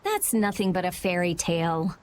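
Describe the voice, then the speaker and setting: Blunt, animated teenage girl mage. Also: lowish voice, moderate pace. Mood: dismissive. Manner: curt, matter-of-fact. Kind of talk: English video game dub acting.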